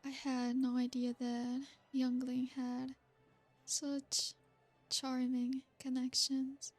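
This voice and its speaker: A woman voicing an ancient, aristocratic female vampire in an ASMR roleplay. She speaks in a soft, formal, flattering way. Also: sits high, takes it slowly, soft background music, exaggerated voice. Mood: flirtatious.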